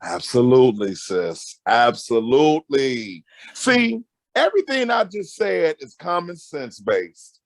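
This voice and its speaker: A loud, emphatic middle-aged man giving animated, conversational advice as a guest counselor, often repeating phrases to drive them home. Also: medium pitch, slow pace, gravelly, no room sound. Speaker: guest marriage counselor and mentor.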